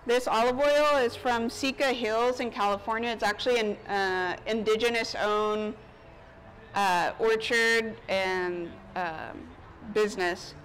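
A woman's voice: on the high side, fairly even intonation, unhurried, fairly bright tone, poor audio. A woman in her thirties chatting casually as a chef, explaining things informally while busy cooking in front of people. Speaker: chef giving a cooking demonstration. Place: outdoor covered market stage. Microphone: lapel mic. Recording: outdoor ambient noise behind voice. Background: outdoor park ambience.

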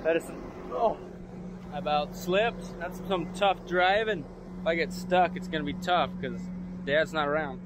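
A man's voice: fairly high pitch, a little slow, noisy background. A young man, talking casually to camera as a farmer vlogging, laid-back and plain-spoken. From United States. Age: young adult. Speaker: farmer and vlog host. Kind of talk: casual vlog talk to camera. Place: outdoors on a farmyard and cattle pens.

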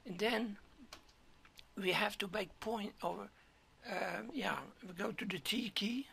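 A hoarse man talking through a 3D modeling tutorial step by step, halting, often clearing his throat and dropping to a whisper. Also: high-pitched, background noise. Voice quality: gravelly, keeps clearing throat. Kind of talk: screen-recorded software tutorial.

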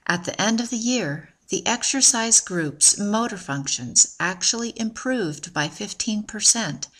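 A woman narrating a scripted promotional video in an even, measured, informative way. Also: fairly low pitch.

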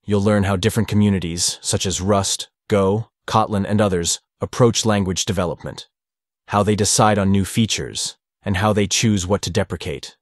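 A man's voice: on the low side, moderate pace, dry recording, studio quality. A man introducing the next speaker at a conference, reading a formal introduction. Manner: formal, announcing.

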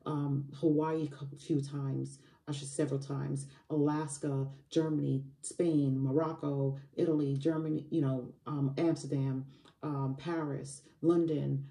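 A woman's voice: low-pitched, little pitch movement, unhurried, creaky, mellow, roomy sound, recorded from a distance. A woman in her early forties, a money coach from Barbados, giving an emphatic motivational talk to camera at home. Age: 40s. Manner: emphatic, repetitive motivational phrasing with fillers. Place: home room by a window.

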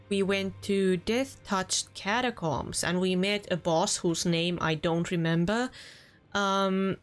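A young woman with a Finnish accent, chatting earnestly as she wraps up a let's play session from her home room. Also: mid-range voice. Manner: chatty and earnest, with small restarts. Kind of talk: casual gameplay commentary.

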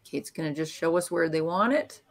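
A woman giving calm, analytical live commentary on a curling match. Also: sits low. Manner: calm, analytical play-by-play with pauses.

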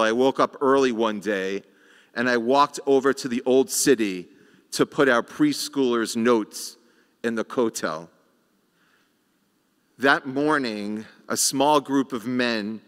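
Middle-aged male rabbi, sermon at a lectern, unhurried with deliberate pauses, long sanctuary reverb. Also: mid-range voice, clean tone. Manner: slow, measured delivery with rhetorical pauses. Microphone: lectern microphone.

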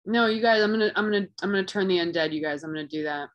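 A woman's voice: medium pitch, very fast, muffled recording. A woman playing in a tabletop game over a video call, chatty, rambling and self-deprecating. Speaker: player.

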